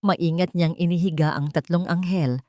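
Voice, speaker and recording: A woman's voice: lowish voice, fairly even intonation, moderate pace, darkish tone, no room sound, clean studio sound. A woman with a Filipino accent reading a scripted audio drama as a dramatic storyteller.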